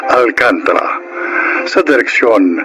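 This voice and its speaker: A man's voice: mid-range voice, expressive, slow pace, noisy background. A Filipino man announcing a radio drama in a formal, scripted style.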